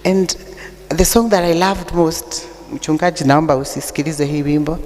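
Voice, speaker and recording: A Kenyan woman with an unusually deep voice, preaching a sermon that mixes English and Swahili, telling stories with humor. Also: normal speed, a little mellow. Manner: chatty storytelling with humor. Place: church. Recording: long church reverb.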